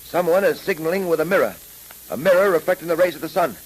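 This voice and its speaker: An American actor playing an urgent, decisive police detective, clipped and commanding, in 1930s radio style. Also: male voice, a little high, quick pace, breathy edge, low-quality recording. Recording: hissy 1930s radio recording.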